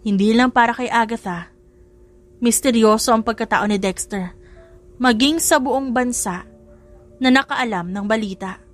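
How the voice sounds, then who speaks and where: A woman's voice: on the high side, normal speed, long pauses. A woman reading a scripted love story as a dramatic audiobook narrator. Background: music.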